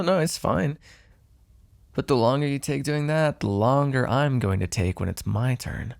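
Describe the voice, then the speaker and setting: Guy doing a teasing possessive vampire man for asmr, gravelly, super dry close sound, sarcastic but fond. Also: mid-range voice, dry recording. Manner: sardonic, flirtatious, swearing, sighing. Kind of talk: intimate ASMR roleplay acting.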